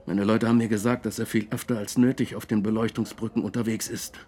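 An actor playing a defensive, blustering film producer under questioning, with a husky voice, in a radio drama. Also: male voice, fairly low pitch, flat delivery, fast talker, dark tone, lightly acted. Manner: aggrieved, blustering.

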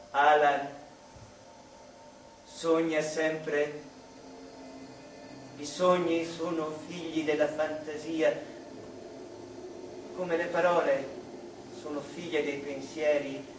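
A male actor playing a gentle, dreamy mother comforting an adult son in a theatrical radio drama, hushed and lingering on words. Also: fairly high pitch, slight background noise. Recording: echoey room, distant microphone, music behind.

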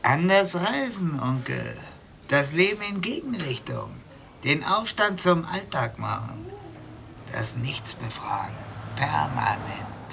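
An actor playing a cocky, taunting hostage-taker in a radio drama, commanding and menacing. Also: male voice, on the high side, big pitch swings, gravelly, pushed and bright, exaggerated voice. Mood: cryptic, menacing. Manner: taunting, commanding, slow drawl. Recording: noisy old cassette of radio play.

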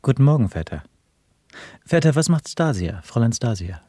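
An actor playing a smug, wealthy, worldly dandy in a radio drama, suave and condescending. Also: male voice, medium pitch, sing-song, normal speed, dark tone, no room sound, studio quality. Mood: teasing.

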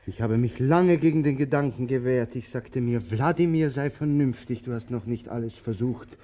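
Guy playing a brooding talky tramp in a radio play, soft dark voice, fussy and a bit stagey. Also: medium pitch, moderate pace, close to an everyday voice. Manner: declamatory, ruminative. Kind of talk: theatrical radio drama acting.

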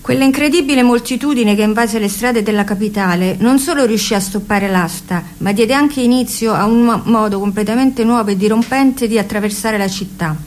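A woman's voice: a little high, a little fast, few pauses, a little mellow. A woman reading a scripted first-person account for radio in a storytelling manner. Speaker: reader.

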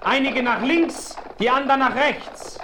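An actor playing a Native warrior who gives firm orders during a pursuit in an old radio play. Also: male voice, extremely high pitch, unhurried, reverberant, noisy background. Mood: commanding. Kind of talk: 1970s radio play acting.

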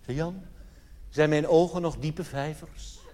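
A man's voice: a little high, fairly even intonation, a little slow, mellow. A man from the Netherlands performing live stand-up cabaret, telling anecdotes in a theatrical, mock-solemn manner full of rhetorical questions. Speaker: cabaret comedian. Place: theatre stage in a large hall. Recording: reverberant hall live recording with noise.

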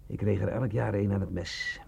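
Gravelly, husky, boastful bandit. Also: male voice, on the low side, fairly even intonation, moderate pace, darkish tone, heavily acted. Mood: boastful, mocking. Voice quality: hoarse, gravelly. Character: cynical, boastful male bandit and sometime waiter, sickly. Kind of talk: radio play acting.

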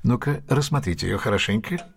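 An actor playing a confident, ironic detective in an audio drama, husky-voiced, with a teasing, deliberate, theatrical manner. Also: male voice, medium pitch, animated. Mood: amused, probing. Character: confident, amused, ironic male detective, keenly analytical. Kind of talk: Russian audio drama acting.

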